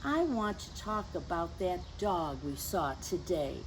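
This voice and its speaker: Female children's storyteller, slightly low voice, unhurried teacherly delivery, animated intonation, clear background noise on the recording. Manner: gentle teacherly, addressing young children with questions and asides. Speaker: children's story time host and reader. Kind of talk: picture book read-aloud for children.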